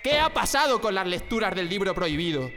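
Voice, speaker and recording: A man's voice: high voice, big pitch swings, fairly quick, sharp tone, heavily acted. A man from playing an anxious, defiant dissident in a radio drama, earnest and questioning. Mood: defiant, accusing. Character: defiant, anxious male dissident who hates the regime. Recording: long hall reverb, faint music behind.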